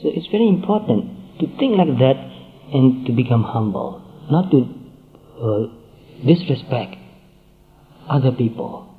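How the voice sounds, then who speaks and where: A man giving a Buddhist dharma talk, speaking in measured phrases with frequent pauses and repetition. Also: mid-range voice, animated. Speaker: Buddhist teacher. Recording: tinny phone-like sound.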